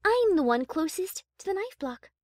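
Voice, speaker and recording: A woman voicing a prim, haughty high-class pony in an audio story, speaking with refined diction and dry formality. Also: big pitch swings, normal speed, dry recording. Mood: teasing, commanding. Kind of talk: scripted audio story character acting.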